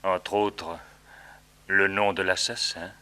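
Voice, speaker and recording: An actor playing a calm, menacing villain in a French radio serial, suave and mock-polite in his measured delivery. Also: low-pitched, clean tone, heavily acted. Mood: conspiratorial.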